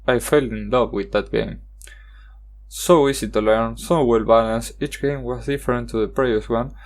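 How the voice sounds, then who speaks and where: A young man from Argentina, a Spanish speaker, hosting a card game review voice-over in careful, halting English. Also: mid-range voice. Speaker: channel host.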